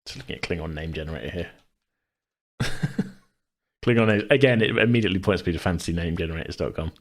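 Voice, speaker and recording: A man brainstorming casually as a podcast host, thinking aloud in a halting way. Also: deep voice. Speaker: tabletop role-play podcast host and game master.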